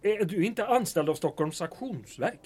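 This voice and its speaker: An actor playing a polite, deferential small-town official in a period audio drama. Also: male voice, high voice, lively intonation, darkish tone, breathy edge. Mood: courteous, hesitant. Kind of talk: period radio drama acting.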